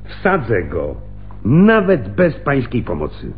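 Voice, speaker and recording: Sly, drawling veteran police lieutenant. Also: male voice, medium pitch, huge pitch swings, soft background music. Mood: sly, menacing. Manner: sardonic, insinuating, drawling with theatrical pauses. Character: cunning, sardonic veteran male homicide police lieutenant. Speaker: actor in a radio play. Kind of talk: Polish radio drama acting. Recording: muffled phone-like radio recording, hum.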